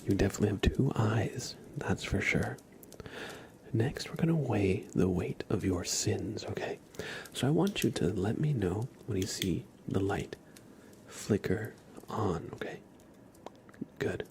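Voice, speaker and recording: A man speaks slowly and softly in ASMR style, often slipping into a breathy whisper. He gives gentle instructions in a playfully deadpan way, his low voice edged with a little fry. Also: a little hiss or hum. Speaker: ASMR live streamer. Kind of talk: soft-spoken ASMR roleplay of a medical exam.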